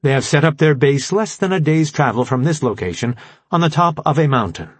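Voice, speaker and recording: A man voicing a formal, logical artificial intelligence robot leader in an audiobook, explaining things in precise, measured language. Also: on the high side, lively intonation, husky, no room sound, pitched above the performer's own voice. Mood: solemn, explanatory. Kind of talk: audiobook character dialogue.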